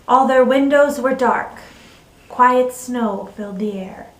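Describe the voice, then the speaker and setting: A woman narrates a children's story as a dramatic reading. Her voice is on the high side. It carries plenty of room echo and sounds set back from the mic. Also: slow. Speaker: co-host and story reader. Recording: roomy echo, distant mic.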